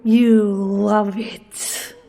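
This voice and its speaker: A woman's voice: mid-range voice, flat delivery, drawn-out words, creaky, mellow. A woman playing a teasing, maternal prima donna of a castle show in a comedic audio drama. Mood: breezy, scolding. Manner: languid, teasing, grandly theatrical. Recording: long reverberant castle hall sound.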